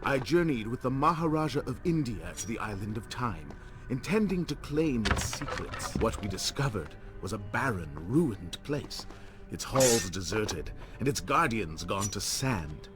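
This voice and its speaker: An actor playing a scheming, power-hungry older vizier in a video game, speaking in a theatrical, menacing and deliberate way. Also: male voice, fairly low pitch, big pitch swings, music in the background. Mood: grandiose, brooding. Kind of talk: dramatic villain monologue.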